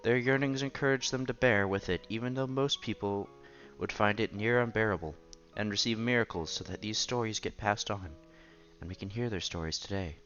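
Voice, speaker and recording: A man's voice: on the low side, a little fast, a bit bright, lo-fi sound. A man streaming a visual novel, reading its narration and dialogue aloud expressively. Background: game music.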